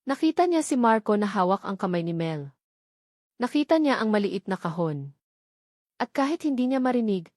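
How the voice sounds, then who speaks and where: A woman narrates a romance audiobook in a dramatic, scripted style. Her voice sits at a medium pitch, and the recording is close and dry. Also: darkish tone, no room sound.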